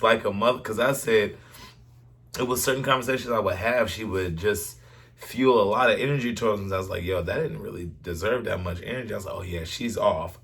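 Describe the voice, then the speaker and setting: A man's voice: on the low side, fairly bright tone. A man chatting casually and chiming in on a story, with a blunt, slangy way of talking. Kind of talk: casual chat, interjecting.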